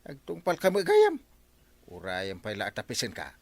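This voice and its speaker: An actor with an Ilocano accent playing a blustery but easily placated village captain in a radio comedy drama. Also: male voice, medium pitch, wildly swooping pitch, normal speed. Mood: scolding.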